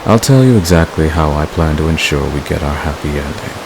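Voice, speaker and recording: A man playing a devoted, manipulative villain in a romantic ASMR roleplay, speaking with deliberate, possessive intensity. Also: expressive. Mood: menacing, possessive. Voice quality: very deep, gravelly. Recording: clear background hiss.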